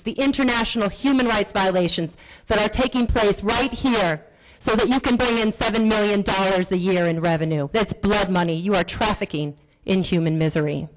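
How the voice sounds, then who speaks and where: A woman speaking at a county board meeting, delivering an impassioned public comment in forceful, accusatory, repetitive rhetoric. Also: mid-range voice, slight background noise, low-quality recording.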